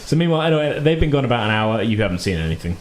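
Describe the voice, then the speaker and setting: A man's voice: medium pitch, sing-song, rapid-fire, a little hiss or hum. A man narrating casually as game master, improvising informally with the odd chuckle.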